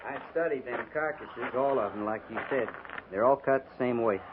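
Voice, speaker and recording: An actor playing a dutiful frontier cavalry sergeant with a rural American accent and folksy, deferential dialect in a 1950s radio western. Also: male voice, mid-range voice, moderate pace, noisy background, close to an everyday voice. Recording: tinny old radio recording, background clamor.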